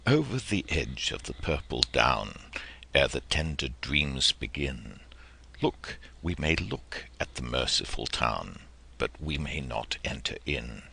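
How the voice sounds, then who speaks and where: A man reading literary prose and verse aloud as an audiobook narrator, with theatrical, deliberate phrasing. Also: a bass voice, sing-song, gravelly, sharp tone, husky.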